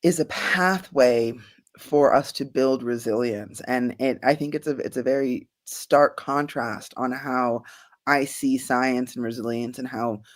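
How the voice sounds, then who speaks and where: A woman's voice: very deep voice, measured pace. Earnest woman, thoughtful answers. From Bahamas. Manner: earnest, thoughtful, many fillers and restarts, soft laughs. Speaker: guest, climate scientist.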